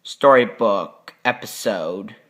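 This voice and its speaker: A man's voice: on the low side, takes it slowly, fairly bright tone. A man giving a plain spoken introduction to a recording as its presenter.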